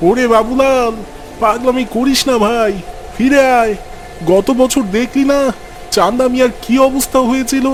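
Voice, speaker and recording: A man's voice: extremely high pitch, fairly even intonation, moderate pace, slight background noise. A man playing a concerned, brotherly fisherman in a cartoon, calling out and imploring. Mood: worried warning. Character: concerned fellow fisherman, brotherly, urgently cautioning. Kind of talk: cartoon dialogue. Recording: faint music behind the voice.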